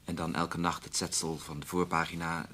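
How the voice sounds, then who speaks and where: Bookish newspaper editor, very deep husky voice, hesitant, cautious, mild, radio drama. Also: male voice, little pitch movement, moderate pace, bright, forceful tone. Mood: cautious, reflective. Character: cautious, self-doubting bookish male newspaper chief editor. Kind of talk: Dutch radio play acting.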